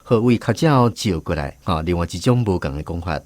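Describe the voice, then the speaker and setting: A man's voice: deep voice, lively intonation, fairly quick, a little mellow, no room sound, studio quality. A man with a Taiwanese accent hosting a language quiz segment on radio, often chiming in with agreement. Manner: frequent agreeing interjections. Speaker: radio host. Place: radio studio.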